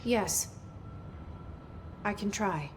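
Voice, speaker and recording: An actress playing a guarded young agency director in a video game, speaking in a terse, reserved, plain way. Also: female voice, medium pitch, extremely slow. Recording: echoey hall with faint noise.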